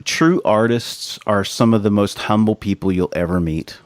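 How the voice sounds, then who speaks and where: American man, lowish voice, podcast co-host, casual slangy talk, emphatic, dry studio-like sound. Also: moderate pace, no echo. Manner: informal, profane, emphatic, says "man" and "dude" often.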